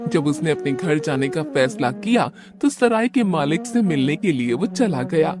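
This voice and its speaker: A male storyteller narrates a cartoon moral tale. His voice is fairly high and moves in a lively, animated way, with music playing clearly behind the scripted narration. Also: normal speed.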